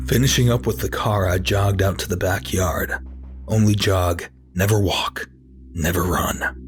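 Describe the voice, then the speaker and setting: An obsessive, unravelling office worker , acted out by a man, tells his own horror story in a very low male voice. His delivery is dramatic and tense, with a cold, violent streak beneath the anxiety. Music plays clearly behind him. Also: exaggerated voice. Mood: frantic. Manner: dramatic, tense, lurching from hushed murmurs to anguished cries. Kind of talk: first-person horror story narration.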